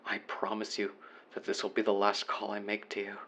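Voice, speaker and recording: A man's voice: lowish voice, monotone, fairly quick, sharp tone. A man playing a remorseful young man leaving an apologetic voicemail to his former best friend, earnest and confessional in an audio roleplay monologue. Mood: wistful, sincere.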